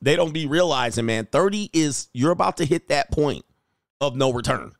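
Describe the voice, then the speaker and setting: A man's voice: on the high side, animated, normal speed, gravelly, no room sound, clean studio sound. A man hosting a casual livestream as a dating coach, reading viewer comments aloud in a joking, rambling way and laughing often. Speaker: dating coach and show host.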